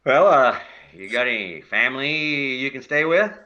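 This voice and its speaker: A man's voice: medium pitch, expressive, measured pace, pushed and bright, dull audio. A man playing a grumpy older widowed neighbor in a stage play, blunt and dryly complaining. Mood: reflective.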